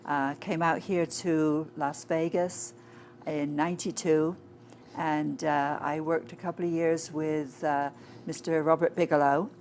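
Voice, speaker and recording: A woman's voice: low voice, little pitch movement, unhurried, a little mellow, slight background noise. A woman from England, answering interview questions conversationally with frequent fillers. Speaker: interview guest. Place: inside a flying saucer-themed shop.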